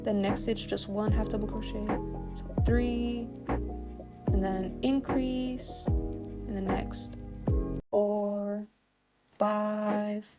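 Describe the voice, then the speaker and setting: A woman's voice: mid-range voice, fairly even intonation, extremely slow, pauses often. A woman narrating a step-by-step craft tutorial, often counting aloud, as its host. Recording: soft music bed under voice. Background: background music.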